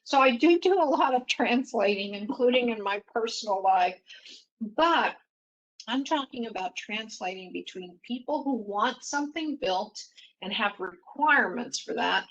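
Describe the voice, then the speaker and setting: Woman from Mexico, native Spanish speaker, middle-aged, panelist, measured, reflective. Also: mid-range voice, fairly bright tone, poor audio. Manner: thoughtful, measured, with fillers and restarts. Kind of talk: panel discussion.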